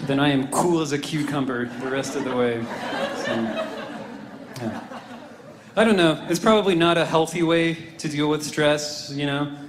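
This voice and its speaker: A man's voice: fairly high pitch, normal speed, echoey room. A man in his twenties performing a self-deprecating stand-up set on a club stage with a handheld mic, audience laughter between lines. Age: 20s. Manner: dry, self-deprecating, with lots of "you know" and "I don't know". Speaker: stand-up comedian. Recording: live club recording with audible crowd noise.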